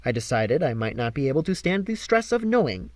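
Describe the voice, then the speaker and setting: A man reading a novel aloud as an audiobook narrator, with an expressive, dramatic delivery. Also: medium pitch, fairly quick, dry recording. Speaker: amateur audiobook reader.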